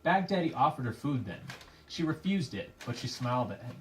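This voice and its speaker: A man narrating a puppet show as dramatic storytelling, in a measured, theatrical, literary manner. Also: medium pitch, big pitch swings. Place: live puppet show performance, room. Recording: distant microphone with faint room noise.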